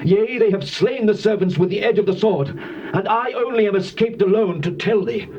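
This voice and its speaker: An actor playing a distressed servant bringing urgent news of disaster in a theatrical scripture drama. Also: male voice, sits high, normal speed, darkish tone, a little hiss or hum. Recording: dull, band-limited tape.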